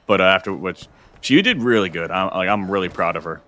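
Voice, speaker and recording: A man's voice: sits low, animated, a little fast, a bit bright, slight background noise. A young adult man chatting casually on a game stream, explaining workouts in a coaching way, often restarting his sentences.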